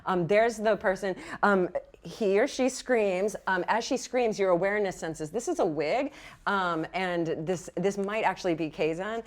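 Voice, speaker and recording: A woman's voice: medium pitch, measured pace. Female game master, playful and encouraging, improvising scenes and explaining dice rules. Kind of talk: improvised tabletop game narration and rules talk.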